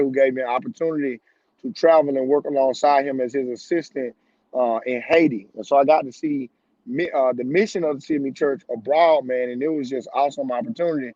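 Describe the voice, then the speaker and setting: A man in his thirties talks earnestly about his ministry over a video call, pausing on fillers as he reflects. The sound is dry and dull, as if through a cheap laptop mic. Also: medium pitch, dark tone, no echo. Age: 30s. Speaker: pastor and panel guest.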